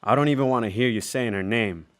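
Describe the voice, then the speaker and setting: Man playing gruff, threatening frontier rancher. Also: medium pitch, quick pace, close to an everyday voice. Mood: angry, contemptuous. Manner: gruff, threatening, rustic Western speech. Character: hot-tempered bullying frontier rancher, a possessive brother. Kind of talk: old-time radio Western acting.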